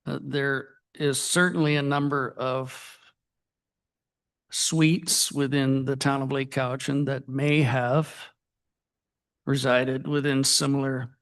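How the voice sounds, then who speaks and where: A man speaks up at a town council meeting in a halting manner, with pauses and fillers. He talks very slowly, and his voice has a gravelly fry to it. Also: medium pitch, level tone.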